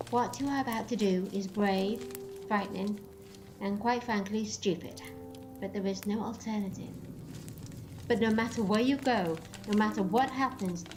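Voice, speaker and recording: A woman's voice: mid-range voice, close to an everyday voice. A woman playing a stern, dignified elder Time Lady in an audio drama, frank yet affectionate. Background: faint music.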